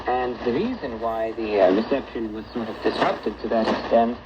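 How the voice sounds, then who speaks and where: A man with a medium-pitched voice presents a radio show as its host, addressing listeners in a broadcast style. The sound is a dull shortwave signal with very loud noise throughout. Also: normal speed. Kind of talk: radio broadcast reading listener check-ins and announcements.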